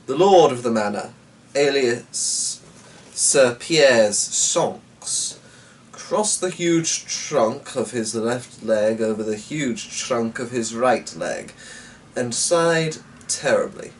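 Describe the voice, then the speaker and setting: A man reading a story aloud and rambling to himself, with hesitant, drawn-out musing and muttered asides. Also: mid-range voice, expressive. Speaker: host and story reader. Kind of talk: rambling solo chat and dramatic story narration. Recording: distant mic with faint background noise.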